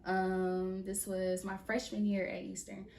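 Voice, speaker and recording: A woman's voice: mid-range voice, a little slow, creaky. Young woman guest from Mississippi, casual and slangy, roomy distant studio sound. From Mississippi, USA. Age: young adult. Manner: relaxed, slangy, emphatic repetitions. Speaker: guest choreographer. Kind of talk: casual interview. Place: podcast studio.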